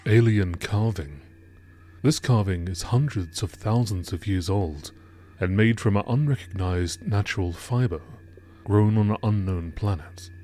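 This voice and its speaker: A man with a low-pitched voice narrates in the manner of a nature documentary. He reads from a script, formally and with measured phrasing, like entries in a scientific log. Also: dark tone. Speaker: narrator reading game lore entries.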